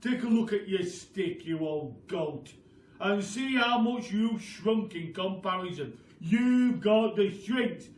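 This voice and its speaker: A loud, forceful bully of a storybook husband, acted out by a man. He taunts gleefully, drawing words out very slowly in a roomy, echoing space. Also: high voice, expressive. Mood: taunting. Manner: slow, hammering, chanting emphasis. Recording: roomy, distant, noisy home-style recording.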